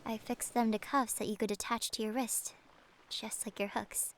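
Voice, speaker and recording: A woman playing a kindly ancient siren in an audio adventure, speaking in a gentle, solemn, measured manner. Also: fairly high pitch, flat delivery. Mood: warm, earnest. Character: kindly ancient siren, a female sea monster. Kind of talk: audio roleplay acting.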